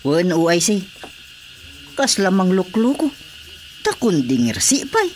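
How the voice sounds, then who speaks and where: A man's voice: high-pitched, wildly swooping pitch, measured pace, a little mellow, slight background noise, exaggerated voice. A man acting a deferential, nosy village watchman in an Ilocano radio comedy drama, with an Ilocano Filipino accent. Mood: obliging.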